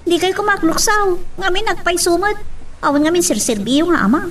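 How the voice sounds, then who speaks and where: A woman's voice: very high voice, normal speed, noisy background. A woman with a Filipino accent acting an outspoken, aggrieved woman in a family radio drama. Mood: reproachful.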